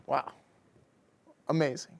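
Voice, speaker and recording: A young man hosting a campus TV studio interview, bubbly and playfully hammy. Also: slow. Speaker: student host.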